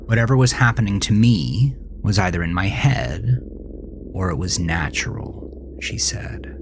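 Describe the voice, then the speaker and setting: A man playing a troubled teenage boy who narrates his own horror story in a brooding, confessional way, often dropping to a hushed murmur. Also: lowish voice, expressive, unhurried, background noise. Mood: uneasy, confiding. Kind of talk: dramatic first-person horror audio narration. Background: music.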